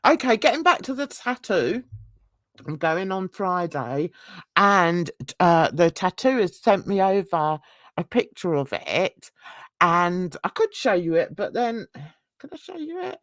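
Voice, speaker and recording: A woman's voice: fairly low pitch, very animated, unhurried, no echo, studio quality. A woman, chatting casually with livestream viewers in a rambling, self-mocking way and laughing often. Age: 60s. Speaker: livestream host.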